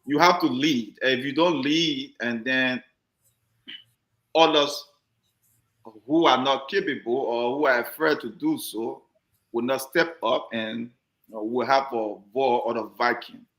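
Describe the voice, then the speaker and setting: A man hosts a community talk show in a joking, chatty way and often doubles back on his words. His voice sits at an ordinary pitch for a man. The sound carries a noticeable room echo. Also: measured pace, a bit bright. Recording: roomy echoey sound.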